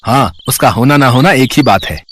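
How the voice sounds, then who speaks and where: A man voicing a bossy, complaining village farmer in an animated moral story. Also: mid-range voice, normal speed, a little hiss or hum, pitched below the performer's own voice, close to an everyday voice. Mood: dismissive.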